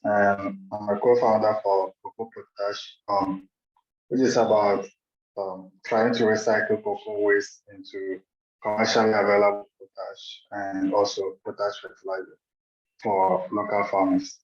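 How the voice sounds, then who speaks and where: A man speaks in a low, flat voice. He is hesitant and soft-spoken. His audio is dull and lo-fi, with a roomy echo, over a video call. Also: measured pace. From Ghana. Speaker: co-founder. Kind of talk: self-introduction on a video call.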